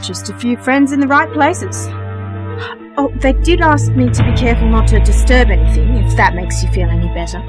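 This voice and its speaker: A woman's voice: a little high, moderate pace, music in the background, close to an everyday voice. A woman playing a genteel, dryly witty sorcerer in a radio play, polite, precise and matter-of-fact. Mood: composed, concerned. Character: composed, genteel, dryly witty female sorcerer, organizer of magic workers.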